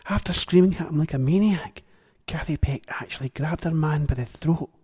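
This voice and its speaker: A man's voice: on the high side, moderate pace, a little mellow, husky, no room sound, clean studio sound, pitched above the performer's own voice. A man doing a gossipy young checkout girl.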